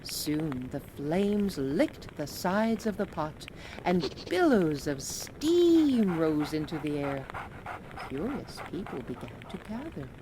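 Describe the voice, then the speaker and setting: Slow, expressive woman reading a storybook. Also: sits low, background noise. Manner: slow, expressive, lively voices for characters. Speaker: teacher reading aloud.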